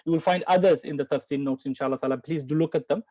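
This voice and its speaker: A man from Bangladesh answering questions in an online Quran lesson, patient and explaining slowly with repeats and apologies. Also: a little high, warm tone. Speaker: Quran teacher.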